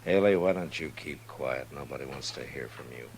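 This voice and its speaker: An American actor playing a stern, weary, principled Old West marshal in an old-time radio drama. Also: male voice, a bass voice, fairly quick. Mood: stern, commanding. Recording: noisy old radio recording with hum.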